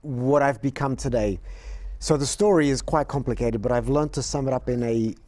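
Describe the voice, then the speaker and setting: A man's voice: medium pitch, moderate pace, a little mellow. Polished British-accented man, upbeat guest. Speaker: guest, motivational speaker. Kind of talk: conversational interview answers.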